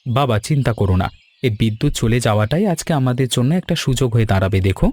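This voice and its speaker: A man playing an earnest, secretive young son with fond memories and plans, in an animated story. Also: mid-range voice, fast talker, dry recording, natural voice. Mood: reassuring.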